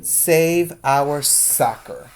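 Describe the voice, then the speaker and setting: Eager storybook girl, bright and quick with ideas, higher and louder, as a male teacher puts her on, slow reading. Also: expressive, smooth. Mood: proud.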